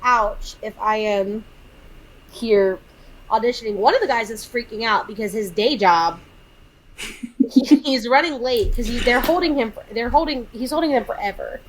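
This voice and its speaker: A thirty-year-old woman co-hosting a podcast, recapping a film in casual chat, animated and exasperated as she acts out quotes. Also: fairly high pitch, moderate pace, poor audio. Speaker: podcast co-host.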